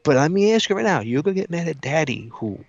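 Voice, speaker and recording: Guy podcaster telling stories, really lively pitch, does the dialogue, swears, dry kinda lofi sound. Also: quick pace, vocal fry, dry recording, muffled recording. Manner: animated, acts out dialogue, swears freely. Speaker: podcast co-host. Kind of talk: casual chat, animated storytelling.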